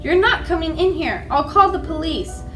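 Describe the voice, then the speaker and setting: A woman's voice: very high-pitched, animated, normal speed, music in the background, pitched above the performer's own voice. A woman voicing a protective, emphatic housekeeper nanny in a children's storybook. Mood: indignant.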